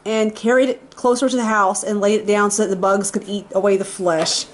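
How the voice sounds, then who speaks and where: A woman's voice: medium pitch, flat delivery, a little fast. A woman talks casually into her camera at home, chatty and offhand as she explains what's around her. Her voice sits mid-range, and a faint hiss of noise runs under it. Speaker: vlogger. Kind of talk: casual home vlog chat. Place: house, indoors.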